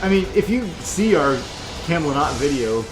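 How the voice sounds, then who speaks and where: A man's voice: fairly high pitch, big pitch swings, normal speed, low-quality recording. A man co-hosting a gaming video, giving casual, jokey gameplay commentary and prone to playful exclamations. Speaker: gaming video co-host and player. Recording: game music behind the voice. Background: video game music.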